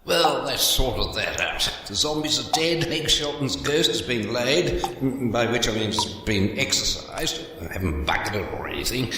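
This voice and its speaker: A man plays a pompous, declaiming police inspector in a spoof radio adventure. He is bawdy and self-assured. His voice comes from far off in long reverb with clear noise. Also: mid-range voice, lively intonation, normal speed, natural voice. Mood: smug. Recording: distant, very echoey hall, noisy.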